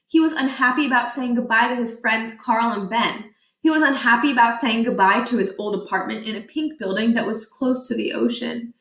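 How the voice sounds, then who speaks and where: A woman reading a children's book aloud expressively, with deliberate emphasis and a playful deadpan touch. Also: on the high side. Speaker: read-aloud storyteller. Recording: echoey room.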